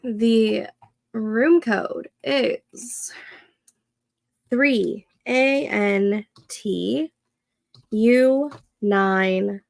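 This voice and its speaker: A congested woman in her thirties chats unhurriedly while she works on her planner. Her voice has a touch of fry and sounds a little nasal, close and dry on the mic. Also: fairly high pitch, a little mellow, no room sound. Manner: slow, chatty, talks to herself while setting up a planner. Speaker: co-working livestream host. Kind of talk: casual chat while working.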